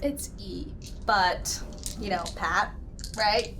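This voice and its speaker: A woman's voice: medium pitch, extremely slow, background noise. A woman playing a tabletop roleplaying game, chatting casually in and out of character. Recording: prominent music behind the voice. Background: music.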